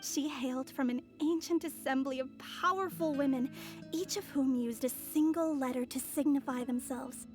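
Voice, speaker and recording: A woman's voice: sits high, steady tone, moderate pace, over music, lightly acted. An actress playing a sly, self-assured young woman, speaking with poised, teasing theatricality in a video game cutscene. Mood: poised, formal.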